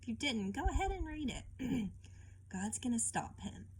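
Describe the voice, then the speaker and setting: A woman reading a picture book aloud and teaching children informally, expressive and often turning to the listener with questions. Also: fairly high pitch, unhurried, slightly rough.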